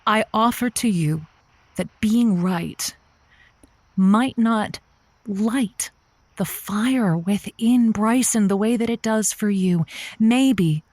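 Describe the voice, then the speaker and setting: A woman's voice: medium pitch, takes it slowly, darkish tone, no echo. An actress playing a chatty, earnest woman radio host who stammers emphatically while giving advice in a comedic audio drama. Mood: measured, advising. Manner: stammering, searching for words, emphatic. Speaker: call-in radio show host.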